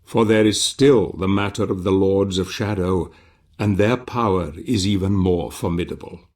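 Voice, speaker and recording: A man playing a grave, portentous chronicler who narrates a fantasy quest in a solemn, measured way. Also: low-pitched, a little mellow, close to an everyday voice. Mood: grave, foreboding. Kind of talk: solemn scripted storytelling narration.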